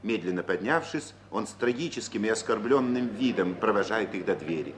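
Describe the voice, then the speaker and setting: A man narrating stage directions for an old radio play in a measured, literary storytelling manner. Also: lowish voice, animated. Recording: old radio recording with faint hiss.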